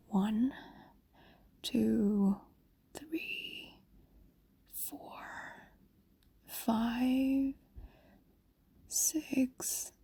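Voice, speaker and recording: Casting: actress for a doting, possessive fox spirit in fantasy ASMR drama; soft mellow tone, breathy coaxing whisper, soothing and ageless. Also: female voice, fairly high pitch, no room sound, heavily acted. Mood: teasing, coaxing. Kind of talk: intimate fantasy audio roleplay, ASMR.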